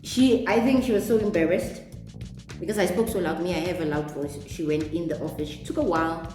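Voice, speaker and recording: A young woman with a South African accent talks through her makeup routine in a chatty storytime. She sits a bit low in pitch and jumps up when acting out conversations. Her voice rings off the walls of the room. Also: brisk. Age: young adult. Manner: animated, replays dialogue in a raised voice. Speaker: beauty vlogger. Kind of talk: chatty get-ready-with-me storytime. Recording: echoey room with music behind.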